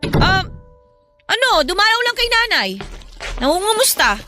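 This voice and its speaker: Woman acting hurt younger sister, Filipino accent, very high bright voice, defensive, audio drama, faint music. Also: very animated, measured pace, full character voice. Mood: sullen, evasive. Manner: aggrieved, sulky, defensive.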